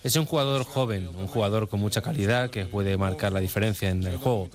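A man gives a translated interview voice-over. His voice is low in pitch. A crowd can clearly be heard behind him. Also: brisk.

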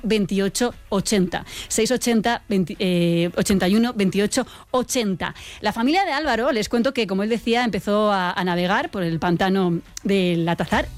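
A woman from Spain hosting a live radio show, chatting lively and laughing easily with callers. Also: medium pitch. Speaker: radio host.

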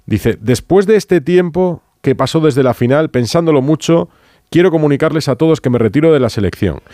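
A man's voice: a little high, expressive, a little fast, warm tone, no room sound, clean studio sound. A man with an accent from Spain, hosting a conversational sports radio interview. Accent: Spanish from Spain. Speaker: radio host. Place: radio studio.

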